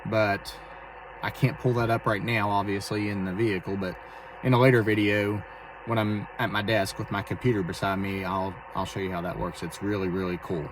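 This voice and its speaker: A man talking casually and haltingly as he explains his amateur radio hobby in a vlog from inside a vehicle. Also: fairly low pitch, level tone. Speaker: amateur radio operator and video host. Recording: distant mic, clear vehicle and radio noise.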